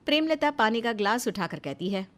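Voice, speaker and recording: High, lively woman storyteller. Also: a little fast. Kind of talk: scripted narration of a moral story.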